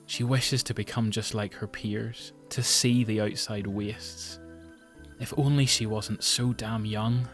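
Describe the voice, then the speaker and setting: Casting: man as grave Northern Irish storyteller, lower-pitched, descriptive scripted narration, music bed. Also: close to an everyday voice. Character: grave storyteller narrating a post-apocalyptic school drama.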